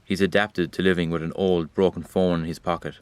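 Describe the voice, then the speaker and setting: A man's voice: sits low, flat delivery, brisk, darkish tone, clear, resonant tone, dry recording, lightly acted. A man playing Rain as a weary observer of humanity, addressing the listener directly in a wry, reproachful audio drama monologue. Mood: wry, sombre.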